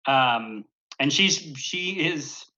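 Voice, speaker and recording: A man's voice: fairly high pitch, animated, extremely slow, bright, forceful tone, highs cut off. A man narrating as dungeon master in a tabletop game stream, rambling with many fillers and chuckles. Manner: rambling, hesitant, frequent fillers and laughter.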